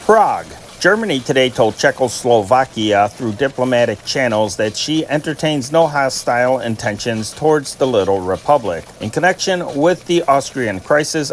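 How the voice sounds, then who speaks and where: A man's voice: mid-range voice, a little hiss or hum. A man reading scripted news dispatches as a host, in a formal announcer-like manner. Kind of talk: scripted newsreading of old wire dispatches.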